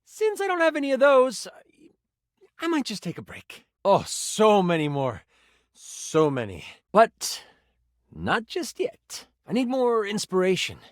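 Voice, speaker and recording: A man's voice: high-pitched, huge pitch swings, unhurried, no room sound, studio quality, full character voice. An actor playing an exasperated, sardonic male game master, a living die in a video game, narrating with theatrical flair. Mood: thoughtful. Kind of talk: tabletop game narration with banter, video game voice acting.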